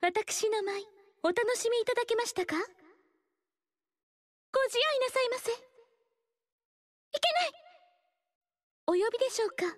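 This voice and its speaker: A woman voicing a graceful, humble noble dancer in a video game, speaking softly and politely in elegant formal speech. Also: extremely high pitch, animated, a little slow, pauses often, dry recording. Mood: courteous. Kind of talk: game character voice lines.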